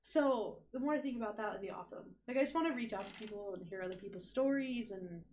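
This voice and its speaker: A woman's voice: medium pitch, darkish tone, a little hiss or hum. A woman in her twenties chatting as a podcast guest, upbeat and joking, in a small studio room. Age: 20s. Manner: chatty, upbeat, quick jokes. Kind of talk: casual chat.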